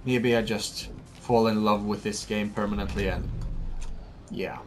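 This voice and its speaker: A man's voice: lowish voice, fairly even intonation, unhurried, soft background music. A young man from Norway with a Norwegian accent, giving chatty, enthusiastic gameplay commentary and often repeating himself. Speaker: let's play host.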